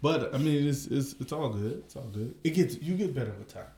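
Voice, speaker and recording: A man co-hosting a casual podcast, joking and relaxed, with lots of filler words. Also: mid-range voice, brisk. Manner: joking, laid-back, lots of "uh". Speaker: podcast co-host. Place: indoor room, across from co-host. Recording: echoey room.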